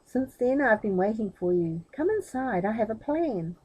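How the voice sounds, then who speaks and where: A woman's voice: fairly high pitch, animated, moderate pace, mellow, husky, poor audio. A woman voicing a young captive princess in a storybook, loving and resolute, confiding and urgent.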